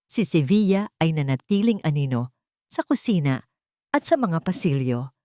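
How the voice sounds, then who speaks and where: Female narrator for suspenseful audio fiction; animated melody, very dry studio sound. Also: on the low side, dry recording. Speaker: storyteller. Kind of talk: dramatic scripted audio drama narration.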